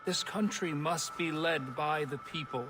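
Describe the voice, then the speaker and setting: An actor playing an articulate, formal and earnest revolutionary gentleman in game drama dialogue. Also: a little high, unhurried, natural voice. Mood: grave, resolute.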